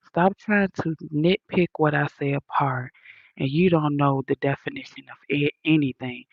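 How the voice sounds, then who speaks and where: Gravelly, slow Southern woman lecturing. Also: low voice, no echo, muffled recording. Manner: indignant, emphatic, lecturing. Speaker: livestream host reading chat. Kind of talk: casual livestream rant.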